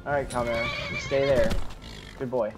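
A man's voice: mid-range voice, level tone, normal speed, music in the background. A man giving in-character commentary as he plays a fantasy video game. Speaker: gamer roleplaying his character.

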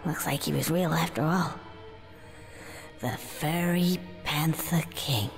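A man's voice: a little high, takes it slowly, gravelly. An actor playing a flippant male red squirrel in a video game, rambling and sarcastic. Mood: nervous. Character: cocky male red squirrel, foul-mouthed antihero. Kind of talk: video game cutscene acting. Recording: reverberant with game music behind.

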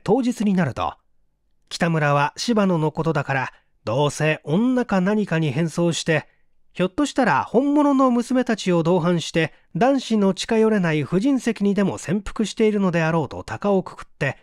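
A man's voice: high voice, big pitch swings, moderate pace, no room sound, clean studio sound. A man narrating a literary story as a scripted, dramatic audiobook reading.

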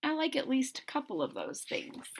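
A middle-aged woman reading a picture book aloud to children, expressive and deliberate, pausing to question her listeners. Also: high-pitched, slight background noise. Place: small indoor room at home.

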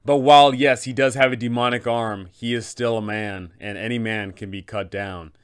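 A man in his thirties hosting a tabletop game guide, telling the story in an emphatic, deliberate way. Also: medium pitch, fairly even intonation, clear, resonant tone. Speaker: host and game master giving advice.

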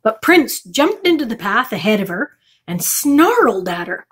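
A woman's voice: on the high side, very animated, measured pace, a bit bright, husky. A middle-aged woman reading a children's chapter book aloud as a storytime reader. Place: small furnished room indoors.

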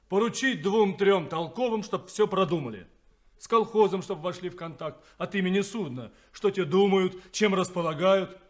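Level-headed sailor, high voice, calm, measured, 1970s radio drama. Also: male voice. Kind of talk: 1970s radio play acting.

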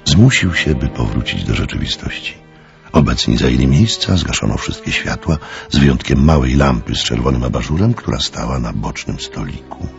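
Super deep creaky guy narrating a radio drama, expressive, music underneath. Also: moderate pace, highs cut off.

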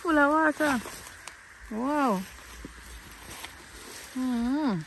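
A woman's voice: sits high, extremely slow, a bit bright, a little hiss or hum, rough recording. A young woman, chatting casually outdoors as she vlogs around her farm. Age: young adult. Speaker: vlogger showing her farm. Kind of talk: casual outdoor vlog chat. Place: outdoors in a grassy goat pasture. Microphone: handheld phone camera.

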